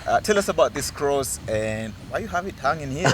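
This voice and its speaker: A man's voice: fairly high pitch, lively intonation, normal speed, crowd noise, rough recording. A male TV host interviewing a guest, with upbeat announcer-style lines and brief replies. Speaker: TV show host. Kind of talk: interview hosting. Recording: noticeable background traffic noise.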